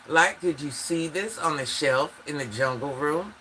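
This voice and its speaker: An older woman hosts a casual phone livestream in a deep, forceful voice. She sounds stuffed up from allergies and chats straight to her viewers, with faint noise behind her. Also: lively intonation, measured pace, poor audio. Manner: chatty, talks straight to viewers. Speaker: livestream host.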